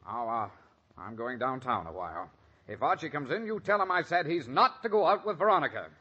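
A man's voice: mid-range voice, expressive, fairly quick, sharp tone, slightly rough. An actor playing a grouchy, stubborn, blustering middle-aged father in an old-time radio comedy. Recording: dull vintage radio recording.

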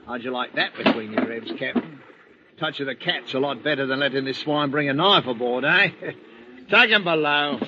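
An actor playing a coarse, sneering ship's mate, in an old radio drama. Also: male voice, mid-range voice, moderate pace, close to an everyday voice. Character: brutal cockney bosun, middle-aged man, sneering. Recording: muffled old radio recording with faint hiss.

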